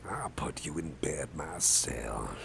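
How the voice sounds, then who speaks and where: A man playing a caring, affable boss in an ASMR roleplay, talking softly in a gentle, hesitant, chatty way with a gravelly voice. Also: lively intonation, slow pace, heavily acted. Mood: concerned, tender. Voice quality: deep, gravelly, breathy at times. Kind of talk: ASMR roleplay, soft close personal talk. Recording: faint background noise.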